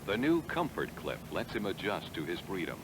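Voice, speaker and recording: An American man giving measured, explanatory scripted narration that addresses the listener directly, on a 1970s training film soundtrack. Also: deep voice, big pitch swings, background noise.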